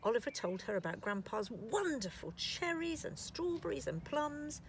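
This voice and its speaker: A woman storyteller narrating a scripted children's story in an expressive, deliberate way. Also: sits high, a little hiss or hum, rough recording.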